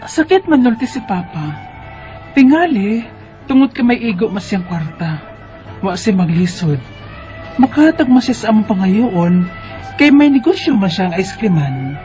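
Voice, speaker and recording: A woman's voice: mid-range voice, sing-song, moderate pace, pauses often, mellow, faint music behind, close to an everyday voice. A woman with a Cebuano Filipino accent playing a hurt but caring young daughter in a Bisaya radio drama. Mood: reflective, sad.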